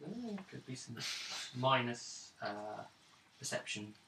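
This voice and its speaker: A man acting as game master, describing scenes of a tabletop roleplaying game in halting, pausing phrases. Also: mid-range voice, big pitch swings. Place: indoors around a gaming table. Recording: roomy, distant mic, clearly noisy.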